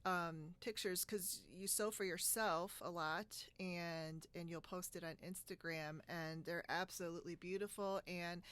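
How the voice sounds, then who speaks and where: A woman hosting a podcast in a casual video-call chat, talking in a halting, giggly, chatty way. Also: lowish voice, fairly even intonation, fairly bright tone, clear, resonant tone, no room sound. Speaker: podcast host. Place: indoors on a split-screen video call.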